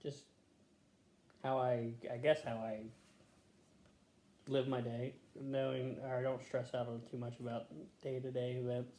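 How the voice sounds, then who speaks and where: A middle-aged woman with an extremely deep, mellow voice answers interview questions casually and briefly, with dry humor. She sounds recorded in an echoey room with some faint noise. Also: flat delivery, a little slow, pauses often. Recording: roomy echo, faint background noise.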